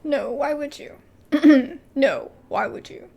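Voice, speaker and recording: A woman's voice: sits high, takes it slowly, gravelly, mellow. A woman doing casual video game commentary and reading dialogue aloud, with informal, exclamatory asides.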